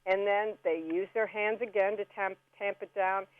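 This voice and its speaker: A chatty woman guest walks listeners through simple instructions in a folksy, casual way. Her voice comes through a narrow, phone-like connection with very little room sound, at a middling pitch. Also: fairly even intonation, moderate pace, dry recording. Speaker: radio guest giving gardening tips. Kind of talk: casual step-by-step how-to chat. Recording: band-limited phone-like radio call.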